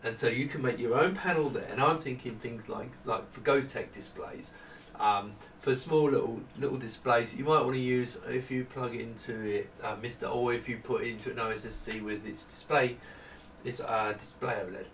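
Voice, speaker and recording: A man in his fifties giving a casual, unscripted product walkthrough, explaining haltingly as he thinks aloud. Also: medium pitch, fairly bright tone. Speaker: maker presenting a product prototype. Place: small home office room. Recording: roomy, distant mic, faint noise.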